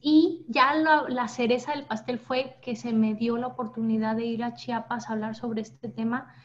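A woman's voice: fairly high pitch, fairly even intonation, moderate pace. A woman, giving personal testimony in conversation. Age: 30s. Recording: dull lo-fi audio, background noise.

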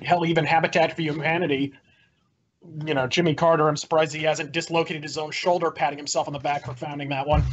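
A man's voice: a little high, a little hiss or hum. A man making a wordy, florid and opinionated argument as a debater in a political debate. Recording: dull lo-fi audio.

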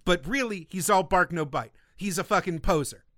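A man's voice: sits high, normal speed, a bit bright, no room sound, clean studio sound. A man co-hosting a film podcast in casual chat, telling stories animatedly and swearing freely. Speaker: podcast co-host.

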